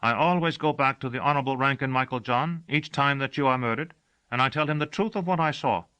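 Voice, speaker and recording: A man doing a loud cheerful forgetful servant. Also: mid-range voice, fast talker, clean tone, pitched above the performer's own voice, lightly acted, rough recording.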